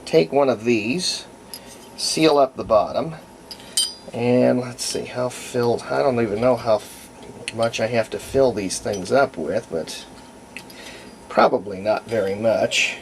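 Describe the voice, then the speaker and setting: A man's voice: medium pitch, slow pace, gravelly, slight background noise, rough recording. A man giving a casual, unscripted how-to narration as a hobbyist restorer, folksy and rambling as he thinks aloud. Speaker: hobbyist restorer presenting a repair.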